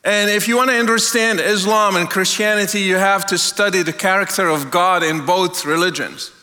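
A middle-aged man from Morocco, sharing an earnest testimony sermon as a guest speaker, slowing down to stress key words. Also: high-pitched, steady tone, bright, forceful tone. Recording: long hall reverb.

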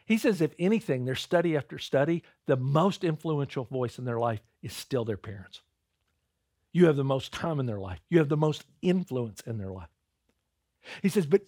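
A man's voice: a little high, darkish tone, dry recording, studio quality. A male pastor giving a conversational sermon, calling his listeners "guys" and sometimes repeating words as he starts a thought.

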